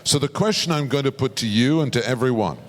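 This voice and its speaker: A man's voice: mid-range voice, animated, fast talker. A man moderating a panel, posing questions and introducing speakers in a polished, formal way. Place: large conference hall. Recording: reverberant conference hall.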